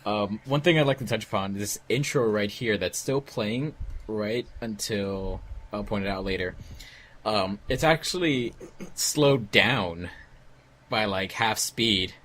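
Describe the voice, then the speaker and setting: Low-voiced man chatting casually. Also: a little slow, a bit bright. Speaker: fandub crew member on a commentary track. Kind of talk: casual group commentary.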